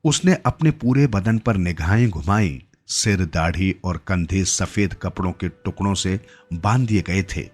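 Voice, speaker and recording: A man's voice: low-pitched, a little slow, a little mellow. A man narrating a scripted literary story as a storyteller.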